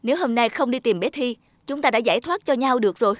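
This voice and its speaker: A woman's voice: fairly high pitch, animated, quick pace, background noise, lightly acted. A woman playing an aggrieved, emotional wife and mother in a cartoon drama. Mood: bitter, arguing.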